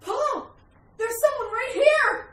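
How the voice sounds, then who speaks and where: A woman's voice: high voice, lively intonation, normal speed. A woman playing a frightened, pleading wife in a melodramatic retro radio mystery. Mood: alarmed. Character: terrified wife fleeing a witch's ghost. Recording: roomy sound with faint noise.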